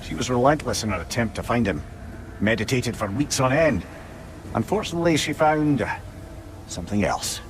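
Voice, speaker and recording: A male actor playing a measured storyteller of ancient myths in a game cutscene, deliberate and expressive with dramatic pauses. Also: mid-range voice, slight background noise, close to an everyday voice. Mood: grave, storytelling. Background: music.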